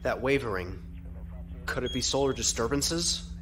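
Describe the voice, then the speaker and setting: A man's voice: mid-range voice, normal speed, very noisy, natural voice. A man playing an eager, deferential junior lieutenant in an audio drama, somewhat tentative in delivery. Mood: puzzled.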